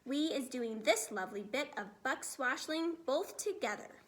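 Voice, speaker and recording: Storybook role: kindly, excitable ancient giant played by a woman, muddled grammar, whimsical words, high and swooping pitch. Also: unhurried. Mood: excited.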